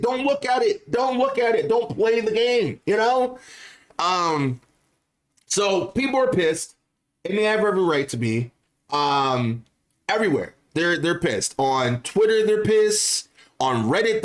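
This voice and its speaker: Guy youtuber doing casual game commentary, kinda high voice, slangy, repeats stuff to make his point. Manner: informal, slangy, repeats phrases for emphasis. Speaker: gaming YouTuber. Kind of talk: casual opinionated commentary to viewers.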